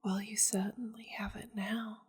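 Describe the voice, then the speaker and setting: A woman's voice: medium pitch, level tone, a little mellow. A woman voicing a seductive, possessive demon of war in an intimate ASMR roleplay, teasing and domineering, often slipping toward a whisper. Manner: slow, breathy, teasing, often dropping into whispers.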